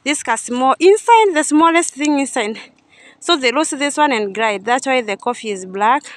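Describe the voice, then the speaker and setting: A woman's voice: sits high, animated, moderate pace, dry recording. A young woman chatting casually as she walks through a coffee farm, thinking aloud and often unsure. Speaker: vlogger touring a coffee farm. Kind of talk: casual guided walk-through. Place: outdoors at a coffee farm and factory. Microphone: phone camera held by speaker.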